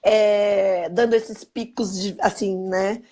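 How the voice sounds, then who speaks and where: Hoarse Brazilian woman, upbeat live-stream host, greets viewers by name, mid-pitched, webcam audio. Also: steady tone, slow, warm tone. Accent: Brazilian Portuguese.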